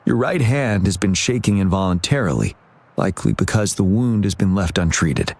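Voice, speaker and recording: A calm game commander, with a low, husky voice. He speaks formally and attentively, in measured phrases. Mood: calm, analytical. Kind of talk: video game voice acting.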